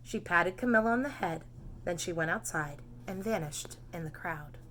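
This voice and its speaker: Female storybook narrator, teacher type: bright tone, animated emphasis, pitched for young children. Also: moderate pace, slight background noise. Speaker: teacher reading aloud.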